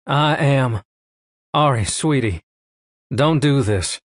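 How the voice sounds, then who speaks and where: A man voicing a young, injured male fighter pilot in an audiobook, low and strained. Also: expressive, slow pace, no room sound, clean studio sound.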